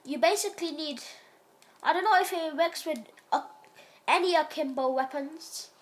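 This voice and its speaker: High-voiced sick woman with a cough. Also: moderate pace. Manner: rambling, stops to cough. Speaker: gaming channel host. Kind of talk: casual gameplay commentary.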